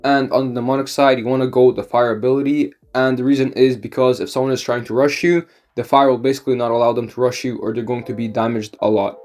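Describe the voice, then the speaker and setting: A man with a mid-range voice that sounds a little off from a cold. He narrates a game strategy guide in a steady, explanatory way and keeps reaching for "basically" and "now". A soft guitar track plays faintly underneath. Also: mid-range voice, fast, few pauses. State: has a cold, voice slightly off. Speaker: gaming YouTuber. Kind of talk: game strategy tutorial voice-over.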